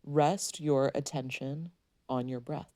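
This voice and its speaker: Woman doing guided meditation, really deep for a lady, soft mellow tone, soothing, close dry sound. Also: fairly even intonation, no room sound. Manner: soothing, gently instructing, unhurried pauses.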